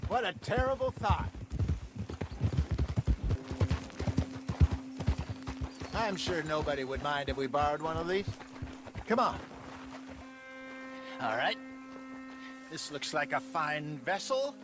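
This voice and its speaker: A man's voice: a little high, big pitch swings, unhurried, pauses often, over music. An actor playing an older, genial outlaw and seasoned fisherman in a western game, talking in a dry, teasing, easygoing way. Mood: wry, chatty. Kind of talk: cinematic western game acting.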